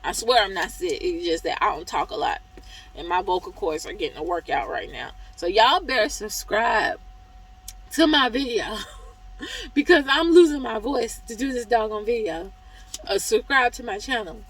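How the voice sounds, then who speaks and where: Hoarse woman venting about work. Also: mid-range voice, lively intonation, normal speed, fairly bright tone, rough recording. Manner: chatty, exasperated venting with quoted reactions. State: hoarse, losing her voice. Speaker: truck driver vlogger. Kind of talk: casual vlog review.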